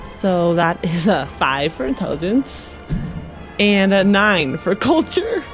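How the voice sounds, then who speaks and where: A woman's voice: mid-range voice, very animated, slow. A woman playing a tabletop game, casually describing what her character does. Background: music.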